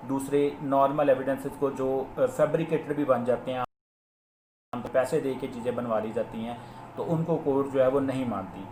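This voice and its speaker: A man's voice: mid-range voice, fairly even intonation, a little mellow. A man with a Pakistani accent giving an explanatory law lecture, mixing in English legal terms. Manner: explanatory, mixes Urdu with English legal terms, slows when reading out clauses. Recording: echoey room, faint background noise.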